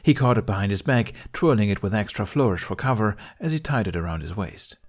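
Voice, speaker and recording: A man's voice: lowish voice, lively intonation, a little fast, slightly rough. A man reading a scripted story aloud as its narrator. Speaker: fan fiction podcast narrator.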